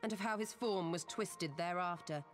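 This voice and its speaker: A woman voice-acting a grave, stately sage in a fantasy game, speaking solemnly and deliberately in archaic formal phrases. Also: on the low side, in a large hall, faint music behind, natural voice.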